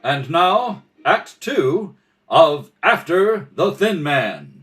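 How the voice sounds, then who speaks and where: A man's voice: on the high side, sing-song, very slow, fairly bright tone, soft background music, exaggerated voice. A man playing a courteous old-time radio announcer, delivering scripted lines in a presentational manner. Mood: dramatic narration. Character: polite, folksy radio announcer selling soap flakes.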